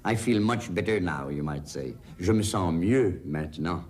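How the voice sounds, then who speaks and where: A man calmly explaining vocabulary as a language teacher. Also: low-pitched. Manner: calm, deliberate, explanatory.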